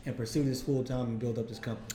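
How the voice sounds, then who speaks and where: A man with a hoarse voice hosts a relaxed interview show, often tripping over the start of his questions. His pitch sits in an ordinary middle range. The room around him rings with a noticeable echo. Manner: halting, repeats words when starting questions. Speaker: podcast host. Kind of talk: casual interview chat.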